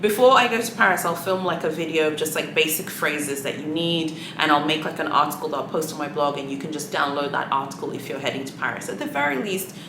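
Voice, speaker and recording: A woman's voice: low-pitched, lively intonation, quick pace, hardly any pauses. A woman whose first language is Swahili, chatting casually to camera with many fillers and sassy asides. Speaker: luxury shopping vlogger. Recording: echoey room with clear background noise.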